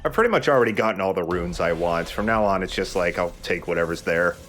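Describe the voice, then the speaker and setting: A man's voice: low-pitched, lively intonation, fast talker, a bit bright, soft background music. A man giving casual commentary as he plays through a video game. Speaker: let's player.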